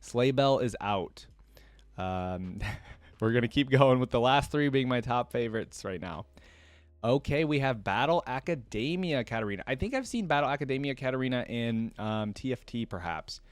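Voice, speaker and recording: A man's voice: mid-range voice, expressive, moderate pace, no echo. A man hosting a reaction video, giving casual commentary in an informal way, thinking aloud and often indecisive.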